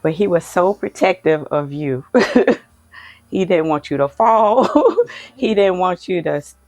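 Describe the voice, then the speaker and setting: Older mom sharing family memories; low, warm-natured delivery, close dry mic. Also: female voice, measured pace, no room sound. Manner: fond and reminiscing, laughs softly while talking. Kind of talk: casual family conversation.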